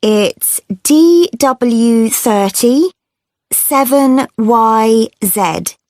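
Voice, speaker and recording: A woman's voice: fairly high pitch, lively intonation, a little mellow, no echo. A woman playing a friendly, chatty bus passenger in her thirties who answers a survey in a scripted listening-test dialogue. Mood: obliging. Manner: chatty, obliging, unhurried.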